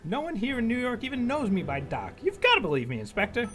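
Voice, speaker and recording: Earnest young husband with lively pitch, acted by a man. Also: fast, soft background music, exaggerated voice. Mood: pleading. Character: earnest, anxious young husband under suspicion. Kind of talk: radio drama acting.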